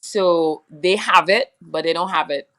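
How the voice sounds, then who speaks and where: Young trini woman, low voice, chatty and meandering, talks in a loose casual way. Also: measured pace, bright, forceful tone. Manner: rambling, many fillers, laughs often. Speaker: soca singer, guest. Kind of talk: casual interview chat.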